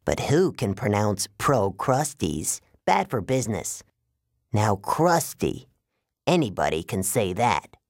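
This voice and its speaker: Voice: medium pitch, sing-song, slow pace, no echo, clean studio sound, full character voice. A performer voicing a genial but menacing male giant who talks in folksy, drawling sales patter, in an audiobook. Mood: chatty, pleased. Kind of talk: audiobook character voice.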